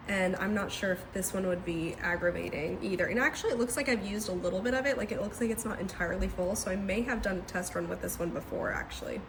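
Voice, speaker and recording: A woman's voice: medium pitch, noisy background. A young woman with a congested, stuffed-up voice, chatting casually and thinking aloud at home as she tries out household scents. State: congested from a cold. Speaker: lifestyle vlogger. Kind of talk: casual chatty vlog narration. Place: indoors at home.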